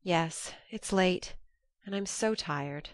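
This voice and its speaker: A woman's voice: fairly low pitch, slow, no echo. A woman voicing an earnest, emotional young woman in love, heartfelt and plaintive, in an audiobook. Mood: weary, pathetic. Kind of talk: audiobook character voice.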